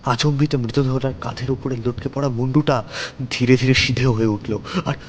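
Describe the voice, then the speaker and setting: A male narrator tells a first-person ghost story in a mid-pitched voice. His delivery is theatrical and suspenseful, broken by dramatic pauses. Background noise sits behind the words. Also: fairly even intonation.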